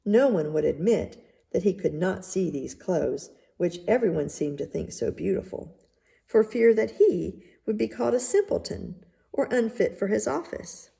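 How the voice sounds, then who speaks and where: A woman reading a children's tale aloud as narrator, in a measured, deliberate way. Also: medium pitch, big pitch swings, gravelly, mellow. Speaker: story reader. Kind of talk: scripted storybook narration.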